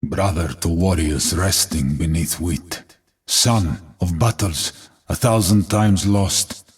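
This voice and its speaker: Really raspy defiant war hero from a video game, low n slow, solemn. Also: male voice, far from the mic, exaggerated voice. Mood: solemn resolve. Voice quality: gravelly, husky. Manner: solemn, declamatory. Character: defiant battle-hardened warrior man, grave and proud. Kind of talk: epic video game voice acting.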